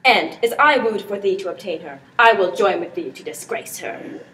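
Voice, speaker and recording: A teenage girl playing a teasing, excitable prince in an amateur Shakespeare performance. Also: mid-range voice, moderate pace, natural voice. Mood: indignant. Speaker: student performer. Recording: distant mic with background noise.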